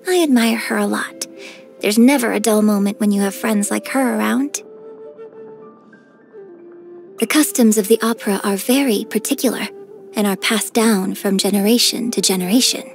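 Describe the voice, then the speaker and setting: A woman voicing a graceful, gentle and cheerful young female opera singer in a game, with polite, elegant diction. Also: mid-range voice, fairly quick, vocal fry, close to an everyday voice. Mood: admiring. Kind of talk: scripted game character voice lines. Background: background music.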